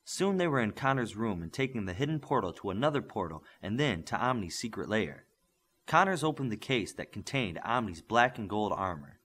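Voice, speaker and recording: A man's voice: on the low side, very animated, brisk, fairly bright tone, slight background noise. A man narrating fiction as a scripted audiobook reader.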